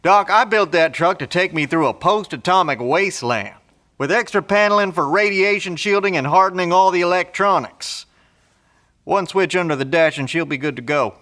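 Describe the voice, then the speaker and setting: A folksy survivor dude, kinda high voice, bright and punchy, blunt, audio drama. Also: male voice, moderate pace. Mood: plainspoken, skeptical. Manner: folksy, colloquial, drawling phrases. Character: folksy, handy post-apocalyptic survivor, blunt and skeptical.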